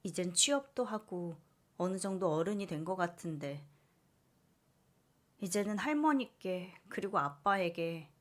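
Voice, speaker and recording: A woman's voice: lowish voice, animated, unhurried. A woman reading a scripted first-person story as its narrator.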